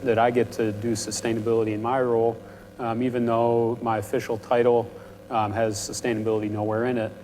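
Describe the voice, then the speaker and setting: A young man speaks at a university panel in a low voice, half-mumbling and full of ums, in a friendly, casual way. His words ring out with a long reverb from the lecture hall. His pitch sits in an ordinary middle range. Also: darkish tone. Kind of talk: presentation to students.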